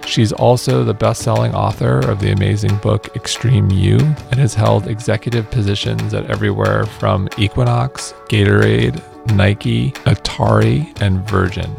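A man's voice: lowish voice, steady tone, moderate pace, creaky, few pauses, music in the background. A man hosting an interview podcast, enthusiastic and full of praise for his guest. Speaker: podcast host.

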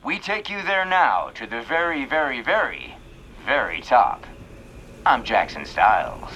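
An actor playing a jaunty old-time radio show announcer, showy and folksy. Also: male voice, medium pitch, very animated, unhurried, bright, forceful tone, slight background noise, full character voice, low-quality recording. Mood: excited, showy.

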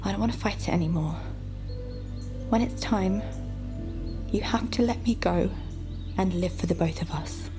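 A woman playing a terminally ill woman in an audio drama, with a hushed, weary, gentle delivery. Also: mid-range voice, normal speed, pauses often, lightly acted. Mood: weary, resigned. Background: music.

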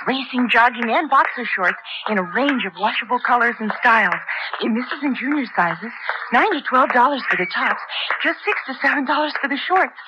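A woman announcer reading a scripted 1970s radio commercial. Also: fairly high pitch. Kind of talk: 1970s radio advertising read. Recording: dull, noisy old radio broadcast.

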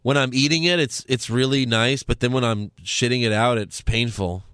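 A man's voice: mid-range voice, normal speed, fairly bright tone, clear, resonant tone, no echo. A man hosting a casual podcast, teasing his co-host and reading out plugs. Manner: dry teasing, reads fan questions and show plugs.

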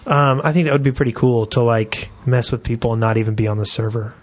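A man's voice: mid-range voice, fast. A man co-hosting a podcast, chatting casually and reading listener email aloud. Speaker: podcast co-host.

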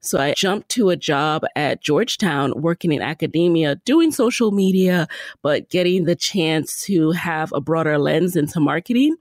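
A woman's voice: sits low, expressive, moderate pace, no echo, clean studio sound. A woman in her thirties with an American accent, telling her own story conversationally as a podcast guest. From born in Jamaica, raised in the Bronx, New York.

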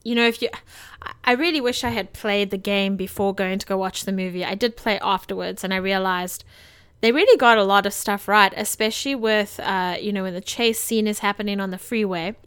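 A woman's voice: medium pitch, steady tone, a little fast, fairly bright tone, clean tone, dry recording. A woman co-hosting a podcast, talking in a casual, informal and meandering way about movies. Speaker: podcast co-host. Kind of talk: casual movie discussion chat.

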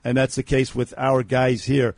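A man with a raspy, gravelly voice holds forth on talk radio. He talks bluntly, rambling through fillers and restarts. The studio sound is dry and close. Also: mid-range voice, little pitch movement, normal speed, a little mellow, dry recording. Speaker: radio co-host. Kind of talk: opinionated talk radio chat.

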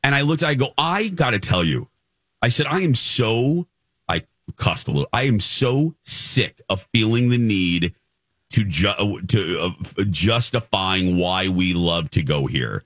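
American guy radio cohost, lowish but really animated, dramatic stop-start talking, repeats himself, dry studio. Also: no room sound. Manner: dramatic, halting delivery with repeated phrases for emphasis. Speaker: radio show co-host. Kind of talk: casual radio chat.

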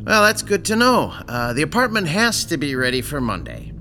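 Sinister fussy boss: prim, dry radio drama delivery, very wide intonation, music behind. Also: male voice, fairly high pitch, huge pitch swings, moderate pace, sharp tone, full character voice. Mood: suspicious, dry. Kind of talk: audio drama dialogue.

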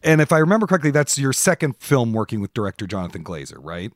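A man's voice: mid-range voice, sing-song, fast, no echo, studio quality. A man hosting a podcast, putting long, considered questions to a guest in a conversational interview style.

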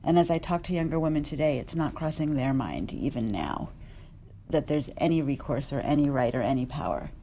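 A woman journalist speaking earnestly on a discussion panel, measured and with small restarts. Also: deep voice, lively intonation, warm tone, slight background noise.

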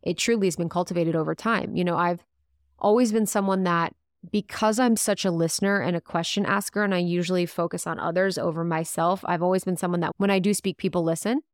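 A woman's voice: fairly low pitch, fairly even intonation, quick pace, no room sound, studio quality. A woman hosting a podcast in a chatty, thoughtful way and using many fillers. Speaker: podcast host. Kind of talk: casual podcast conversation.

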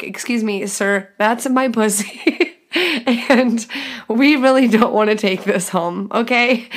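A young adult woman hosting a podcast, telling a crude story candidly and casually, laughing often. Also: medium pitch. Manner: giggly, frank and crude, lots of laughing asides. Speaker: podcast host.

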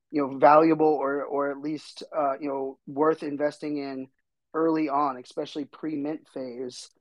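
Slightly hoarse man hosting a podcast. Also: a little high, a little mellow, dry recording, poor audio. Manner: rambling, chatty, many fillers. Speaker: podcast host. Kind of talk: casual solo podcast talk.

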